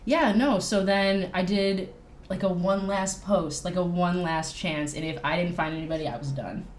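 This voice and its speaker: A woman with a notably low voice tells stories as an interview guest. Her speech is casual and halting, padded with fillers. The room echoes and there is faint noise behind her. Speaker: band drummer, interview guest. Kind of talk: casual chat, storytelling. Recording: roomy echo, faint noise.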